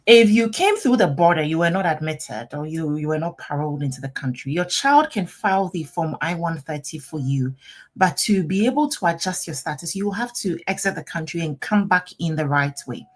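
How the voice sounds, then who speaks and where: A woman in middle age, talking on a live stream as an immigration attorney. She reads out viewer comments and answers them in a chatty, animated way. Her voice sits a little low, picked up by a webcam mic at her desk. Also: normal speed.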